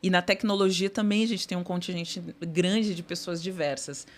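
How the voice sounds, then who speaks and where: A middle-aged woman with a low voice talks through her ideas as an interview guest. She is thoughtful and halting, with frequent fillers and restarts. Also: fairly even intonation. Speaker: guest executive. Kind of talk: conversational interview.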